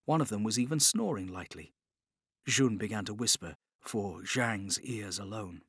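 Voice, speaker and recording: A man's voice: fairly low pitch, moderate pace, fairly bright tone, breathy edge, no echo, studio quality. A man reading scripted dramatic narration as an audiobook narrator.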